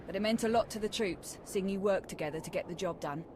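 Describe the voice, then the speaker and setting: An actress playing a loyal, easygoing army major in a video game, with casual phrasing and dry humour. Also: female voice, mid-range voice, quick pace, noisy background, natural voice. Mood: casual, wry.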